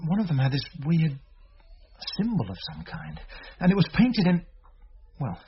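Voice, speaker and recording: A man's voice: a little high, huge pitch swings, normal speed. An actor playing a nervous, defensive young man under questioning in a radio drama, hesitant and stammering. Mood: uneasy. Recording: slightly muffled, clear noise behind.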